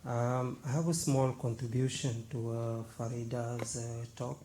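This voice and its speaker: Mellow Senegalese-accented man, faint noise. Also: medium pitch, little pitch movement, unhurried. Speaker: audience member. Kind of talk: personal account from the floor.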